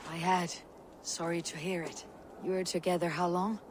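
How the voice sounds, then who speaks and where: An actress voicing a steady, stoic female Viking warrior in a video game, measured and dry in manner. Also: lowish voice, noisy background, lightly acted. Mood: dry, friendly. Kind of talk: video game character acting.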